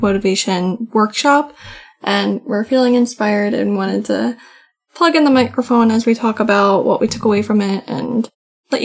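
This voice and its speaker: A soft-spoken woman co-hosting a podcast with her husband, chatting casually and often saying "like". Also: on the high side. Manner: chatty, frequent "like" fillers. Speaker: podcast co-host. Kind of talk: casual conversation with spouse.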